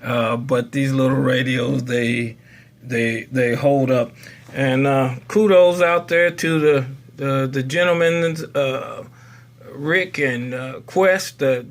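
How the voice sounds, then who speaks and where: A man's voice: mid-range voice, steady tone, takes it slowly. A man hosting a casual how-to demonstration in a folksy, rambling way. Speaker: tutorial video host.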